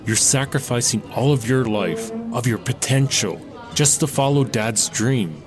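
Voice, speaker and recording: A man's voice: mid-range voice, a little slow, over music, lightly acted. An actor playing a young man confronting his sister in an audio drama, earnest and reproachful. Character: Otto, young man breaking from his family.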